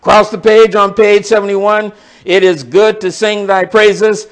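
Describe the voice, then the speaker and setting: High-voiced man teaching scripture. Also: level tone, measured pace. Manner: explanatory, reads and comments on scripture verse by verse; addresses the congregation directly. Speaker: pastor teaching a Bible study. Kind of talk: sermon-style Bible teaching.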